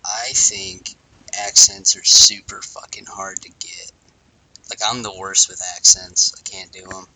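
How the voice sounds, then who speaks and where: A man's voice: sits low, fairly even intonation, unhurried, sharp tone, poor audio. A young man asking puzzled questions in a casual podcast chat as co-host. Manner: quizzical, asks naive questions.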